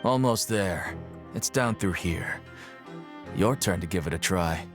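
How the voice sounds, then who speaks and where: An actor playing a weary, brusque and wary prison guide in a video game, talking casually. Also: male voice, low-pitched, unhurried, music in the background. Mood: offhand. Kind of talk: video game character acting.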